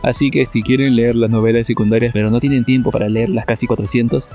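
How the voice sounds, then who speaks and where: A man's voice: mid-range voice, mellow. A man narrating a scripted video essay as a YouTuber, with wry, dry humor.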